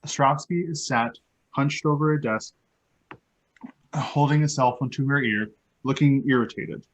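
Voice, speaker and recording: A man's voice: mid-range voice, a little slow, poor audio. A man giving scripted narration, reading the stage directions of a play.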